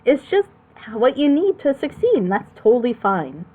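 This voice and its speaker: A woman's voice: a little high, very animated, moderate pace, breathy edge. Woman commentator, slightly dull lo-fi sound. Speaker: reaction show commentator. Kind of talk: casual opinionated discussion.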